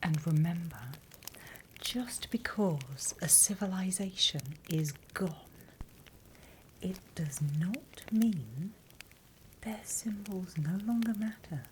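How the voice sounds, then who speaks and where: A British woman voicing a prim demon teacher in a soft, deliberate whispered ASMR roleplay. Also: on the low side, slight background noise, poor audio. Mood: softly ominous. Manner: soft, deliberate, instructional, with pauses. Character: female demon teacher, softly menacing, encouraging, prim.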